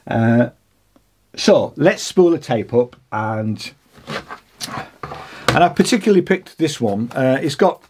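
A man in his sixties with a husky voice gives an informal hands-on demonstration, explaining hesitantly as he thinks aloud. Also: mid-range voice, animated, takes it slowly, long pauses, darkish tone. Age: 60s. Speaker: vlogger demonstrating vintage audio gear. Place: small home studio with foam panels, indoors.

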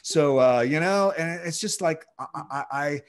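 A middle-aged man, a podcast producer, explaining his craft in a relaxed video-call interview, speaking fluently with frequent restarts and fillers. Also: fairly high pitch, measured pace. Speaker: podcast guest, audio producer.

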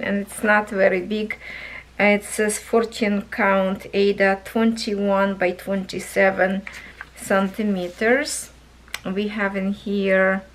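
A woman hosting a craft vlog, chatting slowly through a cross stitch kit review, voice going hoarse, recorded at a table indoors. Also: mid-range voice, rough recording. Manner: chatty, thinking aloud, soft and slow. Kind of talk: casual product review vlog. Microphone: camera over a table, hands only in frame.

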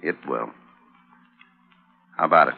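An actor playing a cold, taunting, menacing hired gunslinger in a radio drama. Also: male voice, very deep voice, measured pace, gravelly, breathy edge, heavily acted. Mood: eager, cold. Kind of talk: 1950s radio drama acting. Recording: tinny old radio recording.

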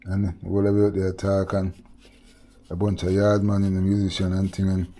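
A man's voice: low voice, completely flat delivery, moderate pace, warm tone. A middle-aged Jamaican man chatting casually while he cooks in his home kitchen, slipping into Patois with laid-back asides. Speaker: cooking vlogger. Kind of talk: casual cooking chat with storytelling. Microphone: head-mounted camera, hands-on cooking.

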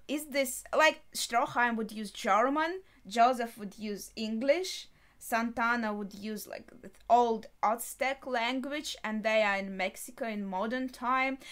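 A young adult woman giving casual, unscripted reaction commentary, thinking aloud with hesitant pauses mid-thought. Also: fairly high pitch, a bit bright. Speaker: reaction video host. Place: small furnished bedroom, indoors.

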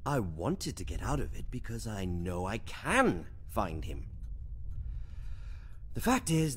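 An actor playing a vain, quick-witted young male wizard in a radio drama, sardonic and theatrical. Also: medium pitch, husky. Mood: defensive, wry.

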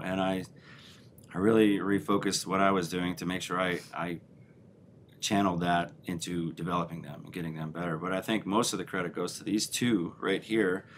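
A middle-aged man with a very low voice answers press questions as head coach. He talks frankly, with many restarts and "you know"s, into a table mic in a press room, with a faint rumble behind him. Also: a bit bright. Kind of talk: postgame press conference answers. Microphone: table mic on a stand.

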